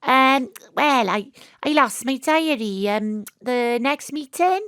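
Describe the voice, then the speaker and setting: An excitable, theatrical woman sleuth. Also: high-pitched, slow, smooth, no room sound, studio quality, heavily acted. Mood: eager, bluffing. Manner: theatrical, breathless self-talk with dramatic repetition. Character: eccentric, excitable woman amateur sleuth narrating her investigation. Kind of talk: first-person audio drama narration and acting. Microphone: close home studio microphone.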